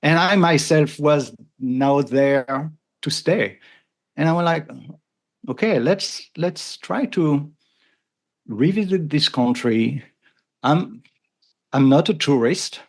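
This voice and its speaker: Gravelly Frenchman speaking earnestly. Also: a little high, takes it slowly, pauses often. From France. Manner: earnest, thoughtful, frequent restarts. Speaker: podcast guest. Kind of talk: casual conversation.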